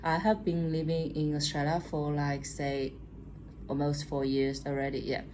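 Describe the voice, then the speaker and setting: A woman's voice: low-pitched, flat delivery, moderate pace, noisy background. A young Chinese woman with a Chinese accent, chatting casually to camera as a vlogger and often tagging on "okay?". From China. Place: room at home at night.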